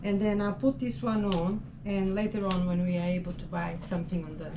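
A woman in her fifties chatting casually in a vlog, often pausing to find the right word. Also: medium pitch, steady tone, distant mic, background noise. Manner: hesitant, searches for words. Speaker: sailing vlogger. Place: catamaran saloon, indoors.

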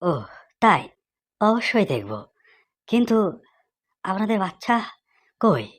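Casting: performer as scornful cartoon doctor, mid-pitched, haughty and scolding. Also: very animated, slow pace, fairly bright tone. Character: stern, scornful, bossy clinic doctor. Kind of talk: comedy cartoon voice acting.

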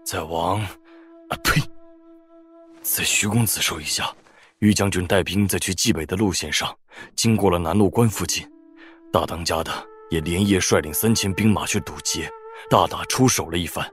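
A man's voice: sits low, moderate pace, a bit bright, breathy edge, soft background music. An actor playing an awestruck, nervous Taoist trickster who speaks humbly and colloquially to his lord in a Chinese wuxia audio drama. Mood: eager, boastful. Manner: deferential, fawning, colloquial.

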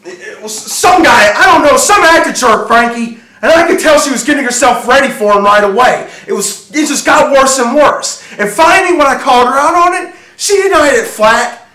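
A man's voice: fairly high pitch, measured pace, sharp tone, recorded from a distance, voice pushed up. A man acting a jealous, obsessive, volatile husband in a profane, emphatic, brooding stage monologue. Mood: furious, anguished. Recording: echoey room with faint noise.

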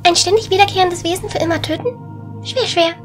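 A woman playing a hasty goddess of the wind in an audio drama, hurrying on without pauses. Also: extremely high pitch, faint music behind. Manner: hurried, running on without pauses.

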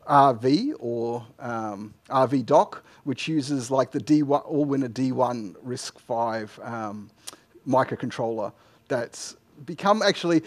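A middle-aged man answering technical questions informally as a conference speaker, in a halting way with frequent false starts. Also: mid-range voice, animated. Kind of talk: informal technical Q&A after a talk. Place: indoor lecture room, at a lectern. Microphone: headset microphone.

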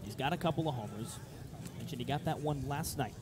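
A man's voice: medium pitch, a little fast, very noisy. A man doing live baseball radio play-by-play in short, descriptive phrases. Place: outdoor ballpark broadcast position.

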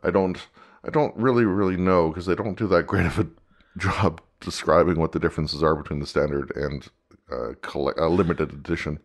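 A man with a North American accent, co-hosting a casual podcast in a hesitant, measured way. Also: low-pitched. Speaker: podcast co-host. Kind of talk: casual fan podcast chat.

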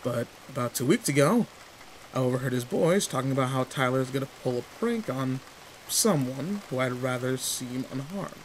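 A man's voice: medium pitch, moderate pace, slight background noise, lightly acted, low-quality recording. A man playing a tall, protective, secretly sweet teenage delinquent in an intimate roleplay audio drama, talking in casual, teasing slang. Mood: candid, bitter. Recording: steady rain ambience behind voice. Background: rain in a forest.

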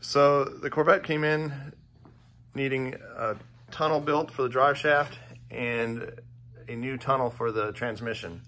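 A man with a mid-range voice walks through a big metal fabrication shop, explaining each project in a relaxed, plainspoken way. He talks off the cuff with plenty of "uh"s and "um"s. The sound is a handheld phone recording in a large indoor workshop. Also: mid-range voice, unhurried, a little hiss or hum. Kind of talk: casual shop walkthrough.

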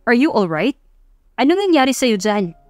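A woman's voice: on the high side, big pitch swings, moderate pace, a little mellow, dry recording, studio quality, soft background music. Woman doing a bossy, impatient young male CEO. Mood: stern concern. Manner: commanding, impatient.

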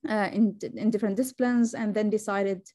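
A woman from Syria talks informally as a panel guest, in a mid-pitched voice. The sound is dry and close, with hardly any room around it. She tends to laugh lightly as she speaks. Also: fairly even intonation, fairly quick, mellow, no echo. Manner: laughs lightly while talking. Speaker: panelist, AI startup founder.

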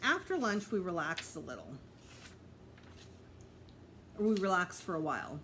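A woman's voice: lowish voice, big pitch swings, unhurried, a bit bright. A middle-aged woman reading a children's story aloud like a teacher to pupils, expressively and with small slips and self-corrections. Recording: clear background noise, home recording.

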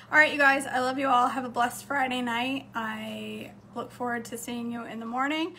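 A woman, chatting casually to her viewers from a home kitchen, slightly hoarse. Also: on the high side, pushed and bright, a little hiss or hum. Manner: chatty, upbeat, talks straight to camera. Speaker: cooking vlogger. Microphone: phone camera close up on a counter.

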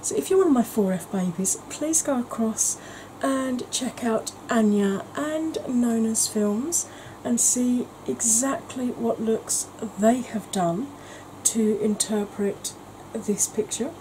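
Rambling woman, mellow voice. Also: fairly high pitch, slow, hardly any pauses, slight background noise, low-quality recording. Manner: rambling, self-mocking, soft-spoken at times. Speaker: makeup vlogger. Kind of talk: casual chatty makeup vlog. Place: indoor home room, kitchen.

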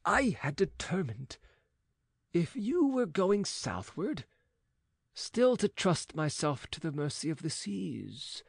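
A man reading a gothic novel aloud as an audiobook, theatrical and emotive, with drawn-out words and dramatic pauses. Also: fairly high pitch, breathy edge, dry recording. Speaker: audiobook reader.

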